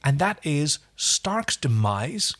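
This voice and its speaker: A man's voice: fairly high pitch, big pitch swings, slow pace, a bit bright. A man co-hosting a podcast, chatting casually and enthusiastically about an episode, often breaking off and restarting his thoughts. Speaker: podcast co-host. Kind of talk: casual episode review chat.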